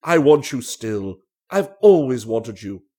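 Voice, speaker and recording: A man voicing a blunt, sentimental old vaudeville performer with a husky voice and emphatic phrasing, in a storybook reading. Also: mid-range voice, very animated, slow, mellow, voice pushed down, full character voice. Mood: pleading, tender.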